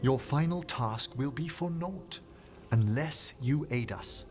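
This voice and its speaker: Courteous, theatrical necromancer gentleman. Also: mid-range voice, lively intonation, takes it slowly. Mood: focused, urgent. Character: courteous, eager male necromancer and scholarly investigator. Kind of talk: dramatic game acting. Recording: game music behind.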